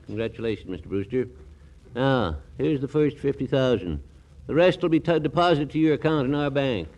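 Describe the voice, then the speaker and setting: A man's voice: mid-range voice, lively intonation, a little fast, darkish tone, natural voice. An actor playing a formal, fussy man of business in 1940s radio comedy, solemn and a little hesitant.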